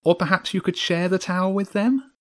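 A man's voice: sits high, brisk, fairly bright tone, pitched above the performer's own voice. A man voicing an earnest, worried boy adventurer in a children's audiobook, a little hesitant.

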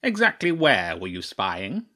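A man's voice: on the low side, huge pitch swings, measured pace, pushed and bright, exaggerated voice. A man voicing a stern, shrewd old crow chairman in a storybook, speaking formally and pompously. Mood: stern, probing. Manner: pompous, clipped, formal pronouncements.

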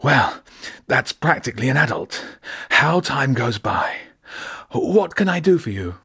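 A man voicing an elderly, genial dealer with a wheezy, husky voice and a slow, courteous, old-fashioned manner. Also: mid-range voice, very animated, pushed and bright, no echo, studio quality, voice pushed up, exaggerated voice. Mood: excited, warm.

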